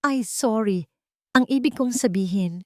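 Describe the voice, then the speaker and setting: A woman voicing a warm, hopeful young female caregiver in a romance audiobook, tender and earnest. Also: fairly high pitch, a little slow, no room sound, clean studio sound, pitched above the performer's own voice, natural voice. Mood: apologetic.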